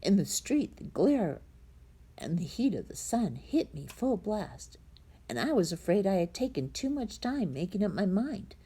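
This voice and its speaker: A woman reading a children's story aloud in a dramatic, suspenseful manner, with a husky, breathy voice that drops into hushed tones. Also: medium pitch, sing-song, unhurried. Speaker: storybook reader.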